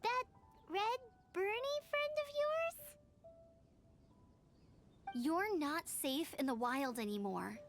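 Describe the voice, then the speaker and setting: Very high, friendly, plucky game girl. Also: slow pace, long pauses, full character voice. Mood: friendly concern. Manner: chummy, animated. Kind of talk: video game character dialogue. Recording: music behind the voice.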